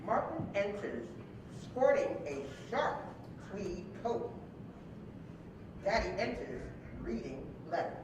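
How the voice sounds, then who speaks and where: A high-voiced man reads stage directions with lively intonation. He sounds distant in a very echoey hall as he delivers short scripted narration. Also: slow, pauses often, noisy background.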